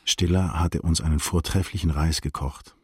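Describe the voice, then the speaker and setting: An actor playing the sober narrator of a German literary radio play, recounting events in a measured, restrained, precise way. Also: male voice, very deep voice, fairly even intonation, breathy edge, no echo, clean studio sound, full character voice. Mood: reflective. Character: sober male friend recounting a sad night in retrospect.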